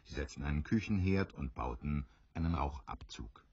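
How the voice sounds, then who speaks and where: A man with an extremely deep, smooth voice narrates an adventure audio drama. His measured storytelling moves expressively up and down, in a dry, slightly dull recording. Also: animated, warm tone, no room sound.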